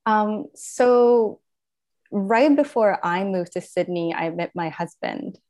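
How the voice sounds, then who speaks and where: A woman's voice: mid-range voice, fairly even intonation, slow, a little mellow. A woman in her thirties from Japan, Japanese by first language, with a slight Japanese accent, telling her story in a casual interview, rambling with many fillers and self-corrections. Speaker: guest.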